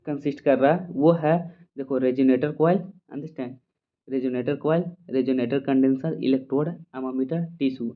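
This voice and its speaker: Through thin, phone-like audio, a man with an Indian accent teaches in an informal, step-by-step way. His voice sits at a middling pitch, and he repeats key points, slowing down for English definitions and often checking for understanding. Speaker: physiotherapy lecturer. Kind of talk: informal explanatory lecture, point by point.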